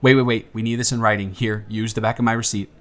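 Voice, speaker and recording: A man's voice: on the low side, fast talker, lightly acted. A man playing a giddy, smitten young man on a blind date, bantering playfully in a one-sided romantic audio roleplay. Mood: teasing. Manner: animated, bantering, playful storytelling with stammers.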